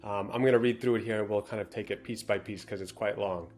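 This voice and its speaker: This is a man co-hosting a relaxed talk show, running through a listener's letter at a fast clip. His voice sits a bit low, and he talks in a brisk, matter-of-fact way. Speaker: co-host. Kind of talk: casual podcast chat, reading a viewer letter aloud.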